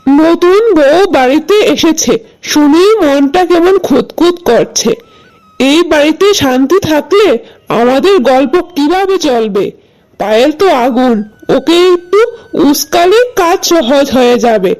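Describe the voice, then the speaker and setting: A woman's voice: sits high, animated, measured pace, darkish tone, soft background music, poor audio. A woman voicing a scheming, gleeful neighbourhood gossip in a cartoon, talking in a sly, conspiratorial way. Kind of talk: cartoon voice acting.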